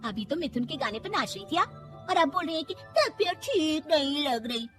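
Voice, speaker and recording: A woman's voice: high voice, big pitch swings, normal speed, a bit bright, pitched above the performer's own voice. A woman voicing a proud, stubborn, pious young daughter-in-law, indignant and preachy, in a cartoon moral story. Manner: indignant, preachy, self-assured. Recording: music behind the voice.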